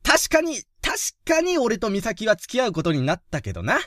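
An actor playing a hot-blooded, friendly high-school boy, rough-spoken and easily flustered, in a youth romantic-comedy voice drama. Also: normal speed, dry recording, clean studio sound, heavily acted. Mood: surprised, flustered. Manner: rough casual speech, excitable, blustering.

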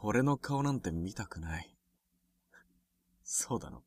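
A young man playing a bitter, jealous high school boy in a Japanese voice drama, with a cutting, taunting way of talking. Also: expressive, unhurried, a little mellow, slightly rough, no room sound, heavily acted. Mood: bitter, cold.

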